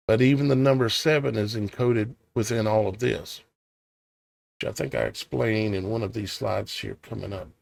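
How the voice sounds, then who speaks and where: A man with a gravelly, fraying voice works through old scripture in a slow, measured cadence. Between readings he wonders aloud, circling back and asking questions. The sound is dry and close, with no room around him. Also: fairly low pitch, a little mellow, dry recording. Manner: thinking aloud, questioning, hesitant restarts. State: tired, voice starting to go. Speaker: online preacher. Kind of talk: scripture reading with musing commentary.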